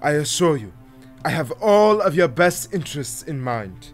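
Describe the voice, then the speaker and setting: A man's voice: on the high side, very animated, soft background music. A man playing a smug, pompous tyrant baron, a horned fantasy villain giving grandiose speeches in an audio drama. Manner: grandiose, theatrical, slow speeches. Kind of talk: scripted audio drama acting.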